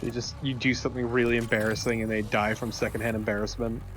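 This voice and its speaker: Male gaming co-host, average pitch, offhand banter over clearly audible game music. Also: level tone, fast. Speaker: let's play co-commentator. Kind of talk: casual banter over gameplay.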